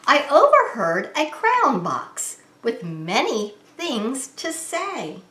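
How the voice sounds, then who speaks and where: Woman, preschool teacher, children's read-aloud, very lively intonation, simple expressive explanations, echoey room. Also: high voice, takes it slowly. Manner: expressive, simplified talk addressed to young children. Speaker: preschool teacher and story time host. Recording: roomy, echoing indoor sound.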